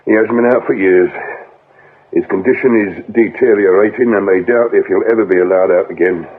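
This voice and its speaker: An actor playing a respectful, plodding British police sergeant, mildly puzzled, in a radio drama. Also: male voice, on the low side, brisk, close to an everyday voice. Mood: matter-of-fact. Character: deferential, lazy, plodding male police sergeant. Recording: tinny, band-limited old radio, faint noise.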